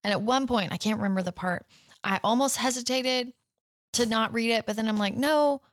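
A woman co-hosting a podcast in casual chat, chatty and quick to laugh along. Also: fairly high pitch, no room sound, clean studio sound. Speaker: podcast co-host.

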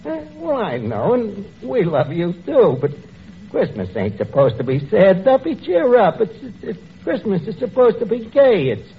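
An actor playing a gruff, uneducated tavern keeper in a 1940s radio comedy, with slangy, ungrammatical speech. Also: male voice, sits high, huge pitch swings, moderate pace, full character voice. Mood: coaxing, exasperated. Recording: muffled old radio recording, noise, studio audience.

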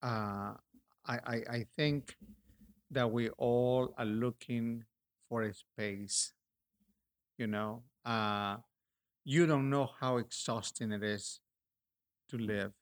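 A middle-aged man with a Peruvian accent, an artist talking in a relaxed interview, reflective and halting, with many restarts. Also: mid-range voice.

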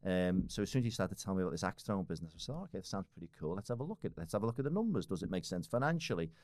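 Deep fast-talking Liverpool man. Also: sing-song, darkish tone, no room sound. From Liverpool, England. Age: 40s. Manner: rambling, many restarts and fillers, swears casually. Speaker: podcast guest. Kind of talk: casual podcast conversation.

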